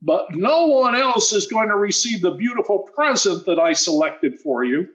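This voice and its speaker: A man's voice: sits high, lively intonation, moderate pace, a bit bright, slight background noise. A man playing a wheedling teenage schoolboy in old-time radio sitcom style, stammering and mock-modest. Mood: wounded, wheedling. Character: wheedling teenage schoolboy, eager, guileless-sounding schemer.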